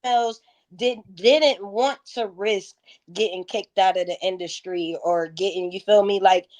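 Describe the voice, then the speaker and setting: A woman's voice: mid-range voice, fairly even intonation, normal speed, a little mellow. A woman in her thirties talking candidly and casually in an interview, with frank, slangy, profanity-laced storytelling. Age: 30s. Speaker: interviewed guest, music performer.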